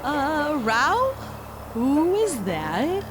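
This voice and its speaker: A woman playing a brash, excitable female sidekick in an audio drama, with casual delivery. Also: high voice, very slow, over music, heavily acted. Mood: awed.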